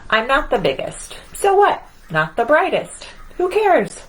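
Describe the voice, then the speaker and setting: Woman voicing a high, swooping, boastful storybook Sun. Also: very animated, measured pace, poor audio. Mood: proud, boastful. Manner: bragging, emphatic, theatrical. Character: boastful, cocky, self-important Sun, a star. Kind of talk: children's picture-book read-aloud.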